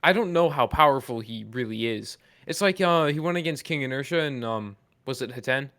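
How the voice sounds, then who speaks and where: A man's voice: mid-range voice, lively intonation, a little fast, fairly bright tone, no room sound. Man, podcast co-host, informal and joking, mid-range voice, dry close sound. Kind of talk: casual podcast discussion.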